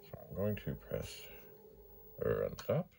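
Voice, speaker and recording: A man's voice: a bass voice, wildly swooping pitch, slow pace, vocal fry, dark tone, a little hiss or hum. An older man talking casually and unhurriedly through a demonstration, musing aloud and repeating words for emphasis. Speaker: vintage computer hobbyist presenting his channel. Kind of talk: casual rambling demonstration talk.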